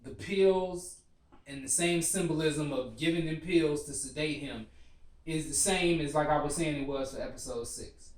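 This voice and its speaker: A man's voice: fairly high pitch, moderate pace. A man co-hosting a casual, sweary discussion, often cutting in and repeating himself to get a word in. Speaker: co-host of a TV series discussion show. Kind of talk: casual group discussion, profane slang. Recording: roomy echo, distant from the mic.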